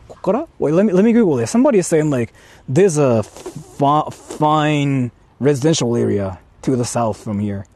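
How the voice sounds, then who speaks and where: A young man, walking around outdoors and chatting casually with livestream viewers, often speaking in a hushed, murmuring voice. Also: a little high, lively intonation, normal speed. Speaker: vlogger streaming while exploring. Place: outdoors walking through an empty housing estate.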